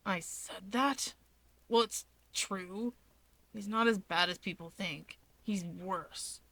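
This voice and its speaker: A woman voicing a grumbling, menacing male villain in a breathy low growl, reading a story. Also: bright, forceful tone, no room sound, heavily acted. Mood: cold, threatening. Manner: slow, drawled threats.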